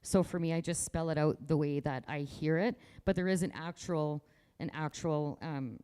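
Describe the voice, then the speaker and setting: A woman's voice: low voice, moderate pace, mellow, clear, resonant tone. A woman artist from rural Manitoba talking casually about her work in an interview, outspoken and proud, with frequent restarts. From rural Manitoba, Canada.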